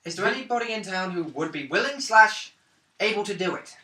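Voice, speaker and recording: A man playing a tabletop roleplaying game, jokey and animated in casual chat. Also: high voice, brisk. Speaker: player. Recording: echoey room, mic far off.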